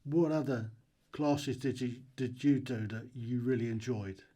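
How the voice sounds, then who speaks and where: Man, podcast host, mellow voice, relaxed, hesitant questions. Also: medium pitch, a little slow. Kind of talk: casual interview.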